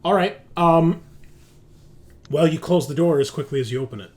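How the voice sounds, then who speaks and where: A man running a tabletop roleplaying game as game master, narrating casually and haltingly as he thinks aloud. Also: a little high, a little mellow.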